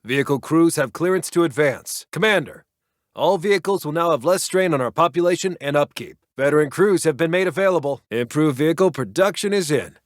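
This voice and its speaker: A man acting as an American wartime intelligence officer for a strategy game. His voice sits slightly high for a man and is recorded very dry. He delivers lines in a clipped, authoritative, by-the-book military manner. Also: expressive, a little fast, dry recording, natural voice. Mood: brisk, official. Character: brisk, commanding male American WWII intelligence officer. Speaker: video game military announcer. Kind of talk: scripted war game unit voicelines.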